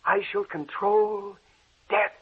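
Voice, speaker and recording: A man playing an obsessed, stubborn research scientist in a measured, stagey 1940s radio drama style. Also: sits high, fairly even intonation, slightly rough, heavily acted. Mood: obsessed, defiant. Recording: tinny, muffled 1940s radio broadcast.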